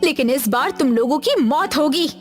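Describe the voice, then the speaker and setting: A woman's voice: sits high, lively intonation, moderate pace, over music. A woman voicing female characters in a horror audio story, with dramatic, emphatic delivery. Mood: accusing. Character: women of a horror tale, anxious and accusing.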